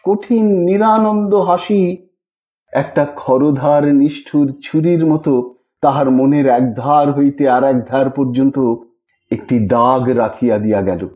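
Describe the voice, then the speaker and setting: Male literary narrator, mellow and high-voiced, measured dramatic reading of fiction. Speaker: audiobook narrator. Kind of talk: scripted literary story narration.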